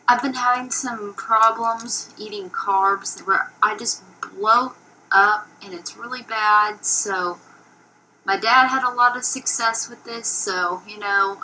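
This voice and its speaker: A young woman narrating a casual food vlog in a flat, low-energy way, with many ums. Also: a little hiss or hum, rough recording. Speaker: YouTuber. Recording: old video played from a phone.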